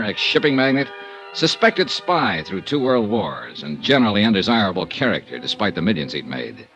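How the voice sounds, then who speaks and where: Wry, animated radio detective. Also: male voice, lowish voice, rough recording. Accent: American. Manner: wry, clipped. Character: wry, world-weary freelance insurance investigator, adult man. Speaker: lead actor and narrator. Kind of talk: 1950s radio detective acting. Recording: vintage radio drama with music.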